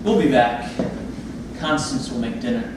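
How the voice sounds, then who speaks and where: A man playing a brisk, dry middle-aged father in a staged play reading. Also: mid-range voice, natural voice. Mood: brisk, dismissive. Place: theatre space, recorded from audience distance. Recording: echoey, distant, noisy room recording.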